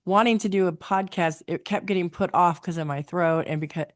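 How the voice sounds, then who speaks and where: A woman's voice: fairly low pitch, brisk, no echo, clean studio sound. A woman hosting a podcast interview in a casual, admiring, effusive way.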